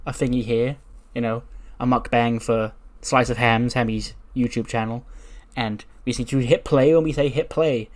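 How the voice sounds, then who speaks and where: A man's voice: medium pitch, fairly even intonation, normal speed, lightly acted. A blunt, feisty woman wrestler, as a male storyteller plays her. She talks in a casual, chatty way and does not mince words. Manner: casual, chatty, plain-spoken. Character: feisty, blunt female wrestler hosting an online video.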